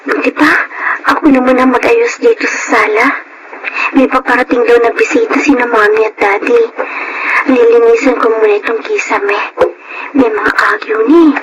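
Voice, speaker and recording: A woman's voice: a little high, moderate pace, pauses often, close to an everyday voice. A woman playing a timid, eager-to-please adopted girl in a Filipino radio drama, polite and hesitant. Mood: busy, self-talking. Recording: clear background noise under voice.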